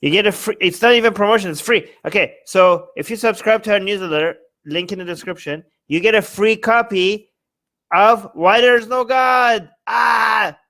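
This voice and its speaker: Male political talk host, higher register, emphatic and conversational, close dry sound. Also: normal speed, vocal fry, bright, forceful tone, no echo. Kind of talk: casual political commentary.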